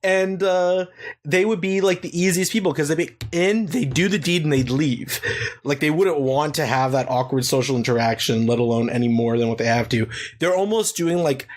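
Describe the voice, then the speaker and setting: A young man with a slightly high voice, talking loosely and crudely. He rambles through long stories in casual podcast banter and laughs often. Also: normal speed. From Canada. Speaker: podcast host.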